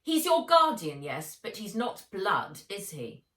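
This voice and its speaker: A woman's voice: mid-range voice, sing-song, slow, a bit bright, voice pushed up. A woman voicing a bossy, snooty middle-aged aunt with a cold, condescending, insistent manner in a storybook reading. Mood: snappish. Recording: roomy echo, distant microphone.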